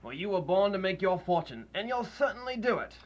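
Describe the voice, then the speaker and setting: Man as a high-voiced sly pawnbroker. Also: very animated, fairly quick. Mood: sly, nosy. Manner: wheedling, rough colloquial talk. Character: sly, greedy male pawnbroker, wheedling and mocking. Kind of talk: radio drama acting.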